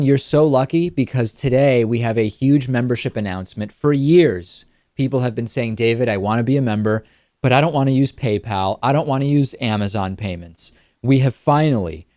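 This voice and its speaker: A man's voice: medium pitch, a little mellow, no echo, studio quality. A man hosting a talk show, presenting in a conversational way and leaning on key phrases for emphasis. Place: studio.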